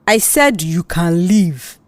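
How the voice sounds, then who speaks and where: A woman's voice: fairly low pitch, expressive, takes it slowly, no echo. A Nigerian woman voicing a smug, predatory male manager in an audio drama, taunting and condescending. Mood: smug, menacing.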